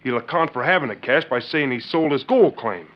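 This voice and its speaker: An actor in a 1940s radio western playing a rancher's brash young son, insistent and urgent. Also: male voice, medium pitch, animated, a little fast, lightly acted. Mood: accusing, insistent. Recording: muffled 1940s radio recording.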